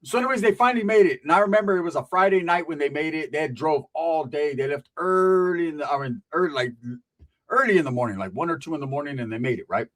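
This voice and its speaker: A man's voice: fairly high pitch, lively intonation, fast, a bit bright, rough recording. A man telling a rambling, profane comic story about family, tagging his lines with "right?".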